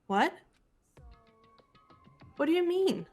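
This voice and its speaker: A woman voicing a cool, analytical teenage girl detective, calm and measured, lecturing with precise logic. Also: very high voice, faint music behind, full character voice. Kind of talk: amateur voiced game playthrough.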